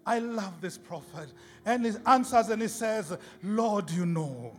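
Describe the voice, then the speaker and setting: Male preacher, very high voice, emphatic and repetitive delivery, big hall reverb, impassioned sermon. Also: animated, measured pace. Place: large reverberant indoor worship space. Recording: hall reverb with music behind.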